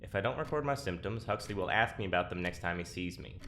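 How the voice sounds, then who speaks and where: A man playing an anxious, deadpan prisoner and test subject in an audio drama. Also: deep voice, fast, slight background noise.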